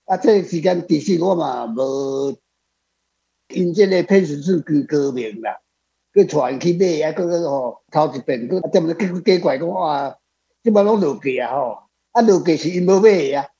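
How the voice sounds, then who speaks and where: A man's voice: on the high side, expressive, normal speed, vocal fry, mellow. An older man with a Taiwanese accent talking casually as a guest author, rambling and adding frequent tag particles. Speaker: author answering readers as a guest. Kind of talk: casual spoken discussion. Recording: slightly dull, lo-fi sound.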